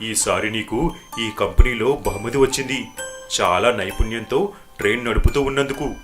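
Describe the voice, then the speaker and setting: కార్టూన్ నీతి కథలో నచ్చజెప్పే, అధికారంగా మాట్లాడే యజమాని పాత్రకు గొంతు ఇస్తున్న పురుషుడు. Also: male voice, medium pitch, music in the background, lightly acted. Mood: authoritative. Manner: brisk, authoritative, placating. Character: bossy, smooth-talking male employer and company manager. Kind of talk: animated moral story dialogue.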